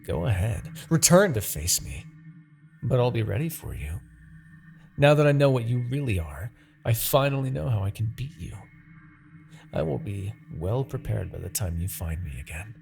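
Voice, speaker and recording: A menacing demon king, acted by a man, holds forth in a fantasy audio roleplay. His voice is lowish and full of gravel, swooping widely in pitch as he taunts and seduces. The delivery is haughty, obsessive and theatrical, with drawn-out phrases. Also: very animated, darkish tone, soft background music. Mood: cold, threatening. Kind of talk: scripted fantasy ASMR roleplay acting.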